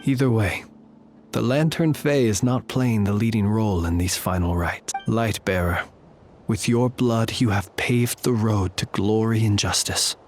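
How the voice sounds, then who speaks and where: A man playing a calm, wry, courtly lantern keeper in a video game, speaking in a measured, formal, solemn way. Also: fairly low pitch, lightly acted. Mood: resolute, calm. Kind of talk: scripted game drama, eulogy-like. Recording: music behind the voice.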